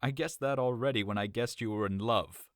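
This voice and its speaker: A man's voice: mid-range voice, fairly quick, no room sound, studio quality, close to an everyday voice. An actor playing a caring young cousin in an audio drama, earnest and coaxing as he questions his friend. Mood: concerned.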